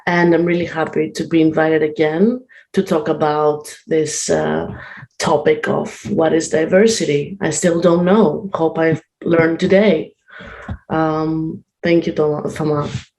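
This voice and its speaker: Slow-speaking woman artist on a call. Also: deep voice, steady tone, a little mellow, rough recording. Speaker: artist and researcher. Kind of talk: casual self-introduction. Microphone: video call.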